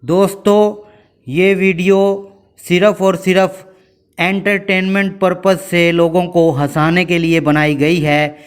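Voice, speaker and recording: A man reading scripted narration as the host of a video channel. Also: high-pitched.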